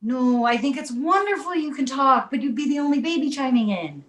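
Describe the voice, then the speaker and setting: A woman playing the exasperated, placating mother of a talking baby in an animated comedy table read, recorded in a roomy space. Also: sits high, brisk. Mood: uneasy, exasperated.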